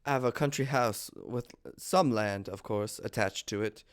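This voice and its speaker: Polite young gentleman suitor read by a man, deep clear voice, nervous and deferential, stage comedy read-through. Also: normal speed, close to an everyday voice. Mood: earnest, hesitant. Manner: deferential, hesitant, formal. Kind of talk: amateur table reading of a stage comedy.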